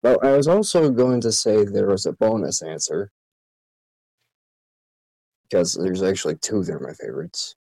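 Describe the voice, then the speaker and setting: Low-voiced blunt young man. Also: expressive, moderate pace, gravelly, pauses often, mellow, no echo, rough recording. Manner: blunt, swears casually. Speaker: host asking questions. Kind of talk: casual chat among friends.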